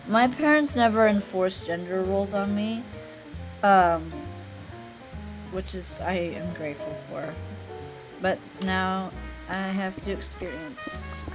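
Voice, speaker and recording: A young woman chatting candidly on a live stream, often trailing off softly at the ends of her sentences. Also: fairly low pitch, poor audio. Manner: candid, soft-spoken, trailing off quietly. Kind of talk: casual chat on a live stream.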